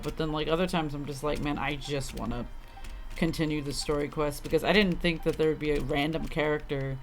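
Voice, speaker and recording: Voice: mid-range voice, a little hiss or hum. A game streamer giving casual, grumbling commentary with offhand swearing while playing. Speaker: livestreamer playing a video game.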